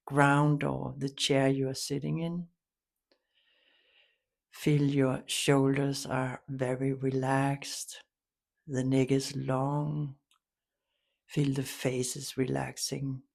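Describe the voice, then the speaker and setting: A woman leading a guided meditation, giving soft instructions in a calm, soothing, unhurried way with long pauses. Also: very deep voice, creaky.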